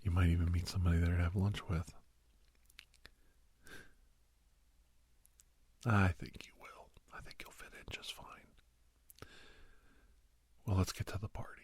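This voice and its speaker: Husky, whispering low-voiced kindly older doctor, played by a man. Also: level tone. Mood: reassuring. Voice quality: husky, breathy, gravelly whisper-talk. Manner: slow, rambling, gentle, chuckling storyteller. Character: kindly older male doctor, reminiscing and reassuring a youngster. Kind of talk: soft whispered ASMR roleplay monologue.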